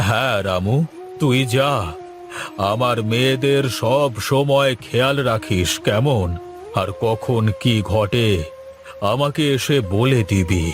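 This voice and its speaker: A man's voice: medium pitch, takes it slowly, over music, close to an everyday voice. A man voicing a caring, protective father and master in an earnest, melodramatic children's cartoon style. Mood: consoling, concerned.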